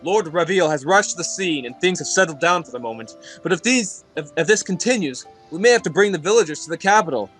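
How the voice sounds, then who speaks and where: A man's voice: on the high side, animated, fast, hardly any pauses, fairly bright tone, soft background music, pitched above the performer's own voice. A man voicing an anxious, deferential demon messenger reporting urgently in a game dub. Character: pale, frantic, deferential male demon messenger.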